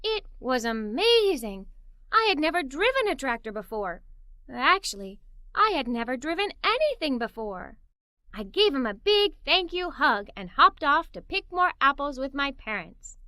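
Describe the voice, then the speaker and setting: A woman narrating a children's story aloud in an expressive, playful way. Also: high voice, normal speed, no room sound, studio quality.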